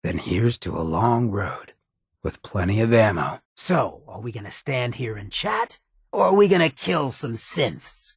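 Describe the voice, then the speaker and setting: A man voicing a swaggering, roguish mayor in a video game, a wry hedonist outlaw with a raspy, gravelly, drawling and sardonic delivery. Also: medium pitch, expressive, a little slow, no echo, clean studio sound, exaggerated voice. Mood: confident, roguish. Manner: drawling, sardonic, streetwise slang. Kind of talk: video game character dialogue.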